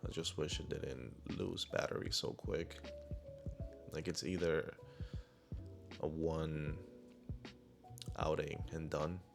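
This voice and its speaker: A man with a deep voice narrating his own vlog in a laid-back, rambling voiceover. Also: little pitch movement, takes it slowly, vocal fry, pauses often, music in the background. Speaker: vlogger. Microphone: clip-on wireless mic.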